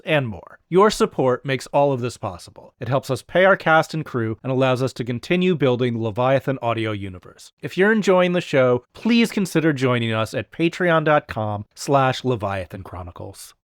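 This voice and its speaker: A man's voice: a little high, no room sound, studio quality. A man reading a scripted promotional message as a show's announcer. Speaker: show announcer.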